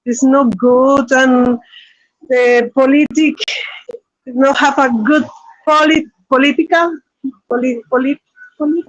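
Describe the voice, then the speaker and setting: A woman from Colombia with a Colombian Spanish accent, a Spanish speaker talking halting, apologetic English on a phone as a guest in a casual chat. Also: sits high, fairly even intonation, dull audio. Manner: halting, searching for words, apologetic.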